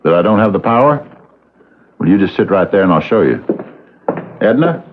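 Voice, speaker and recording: An actor in an old radio drama playing a domineering husband who boasts of hypnotic power, with a deep, gravelly voice. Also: male voice, very animated, moderate pace, background noise. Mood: boastful. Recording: muffled old radio broadcast, music behind.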